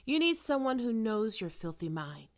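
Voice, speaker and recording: A woman playing a probing, smoothly manipulative psychiatrist in an ASMR roleplay, speaking in measured, deliberate phrases. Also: fairly high pitch, very animated. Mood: coercive, menacing. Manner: measured clinical questioning with deliberate pauses. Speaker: voice actor performing a roleplay.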